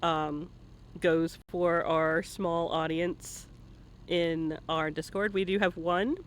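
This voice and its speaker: Man, high-pitched voice, playful, casual interview host, livestream chat. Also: flat delivery, slow pace, fairly bright tone, slight background noise. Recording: live online stream audio.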